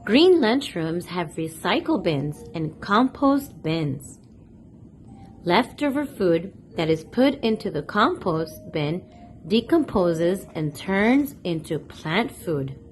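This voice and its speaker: A woman reading a picture book aloud for young children, speaking deliberately and addressing her listeners directly. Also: lowish voice, very animated, a little hiss or hum. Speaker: host of a children's read-aloud channel.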